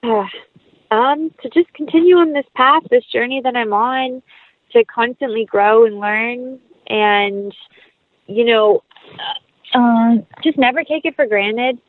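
Casting: phone-quality female guest, medium-pitched, conversational and sincere with an upbeat manner, no room echo. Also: slow. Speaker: interview guest, actress and producer. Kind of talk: casual conversational interview. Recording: tinny phone-like line.

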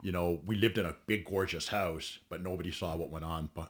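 A man's voice: low voice, steady tone, brisk. A man in his forties, a bodybuilder guest telling his story bluntly in a podcast interview, swearing freely and often restarting. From Ontario, Canada.

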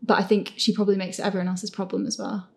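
A woman hosting a casual, giggly chat, animated and often acting out imagined reactions. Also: mid-range voice, rapid-fire, gravelly. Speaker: host and podcast creator answering listener questions.

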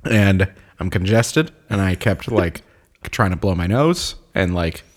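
A man's voice: low-pitched, animated, slow. A man co-hosting a casual podcast, congested and coughing, joking and laughing at himself. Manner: joking, self-deprecating, laughs often. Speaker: podcast co-host.

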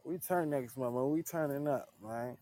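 Mellow-voiced laid-back man in his thirties. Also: mid-range voice, normal speed, no echo. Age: 30s. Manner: laid-back, repeats "man" at line ends. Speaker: livestream host. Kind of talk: casual livestream chat with viewers.

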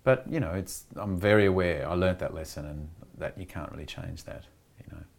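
Low-voiced Australian man, candid and rambling. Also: creaky. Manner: candid and rambling, frequent "you know" and restarts, quick laughs. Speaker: actor being interviewed. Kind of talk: reflective conversational interview.

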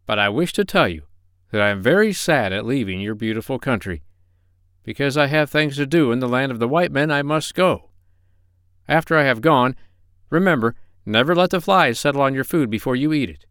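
A man's voice: medium pitch, animated, brisk, fairly bright tone, clean tone, no room sound, clean studio sound, voice pushed up. A man playing a kind, modest, absent-minded doctor in a storybook, mild and musing. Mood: earnest.

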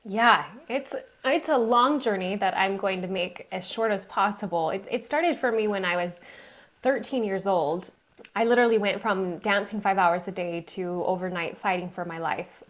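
A woman in a middle pitch speaks as a podcast guest. She tells her story earnestly and with emphasis, leaning into the key moments. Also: normal speed. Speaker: guest, mind coach. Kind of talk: interview storytelling.

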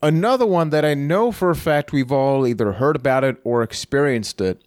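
A man from Puerto Rico with a Puerto Rican accent, co-hosting a casual podcast chat and telling animated stories. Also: mid-range voice, fairly quick, no room sound, studio quality. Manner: animated storyteller, laughs often. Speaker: podcast co-host.

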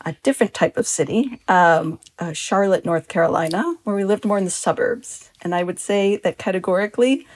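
A woman's voice: medium pitch, brisk, hardly any pauses. A woman speaking as a podcast guest, upbeat in a casual chat with frequent ums. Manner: upbeat, warm about her topic, with frequent ums.

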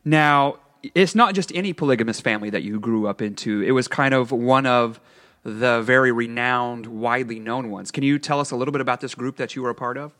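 Curious male interviewer, mid-pitched. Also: a little fast, fairly bright tone. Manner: curious, encouraging questions. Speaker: interview host. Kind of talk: conversational interview.

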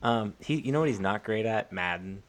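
A man co-hosting a sports podcast, chatting informally and haltingly, often repeating and restarting his phrases. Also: fairly low pitch, expressive. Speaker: podcast co-host. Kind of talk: casual sports podcast chat.

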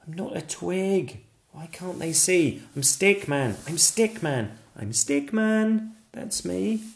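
A man's voice: high-pitched, expressive, slow, creaky, reverberant, pitched above the performer's own voice, full character voice. A man voicing a small, brave stick figure who keeps insisting who he is in a sing-song refrain. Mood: indignant.

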